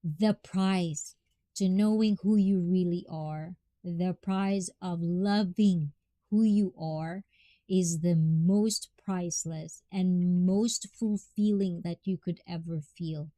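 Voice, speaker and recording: A woman with a Filipino accent chats her way through a livestream, thanking viewers and giving heartfelt advice. She talks slowly and unhurriedly, laughing often. The sound is dry and close, with almost no room echo. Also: fairly low pitch, fairly even intonation, warm tone. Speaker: livestream host.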